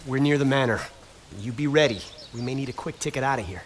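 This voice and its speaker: An actor playing a gruff, confident adventurer in a video game, with folksy, clipped talk. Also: male voice, mid-range voice, fast, noisy background, lightly acted. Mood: assured. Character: gruff, confident male adventurer guiding a partner on a raid. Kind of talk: video game cutscene acting.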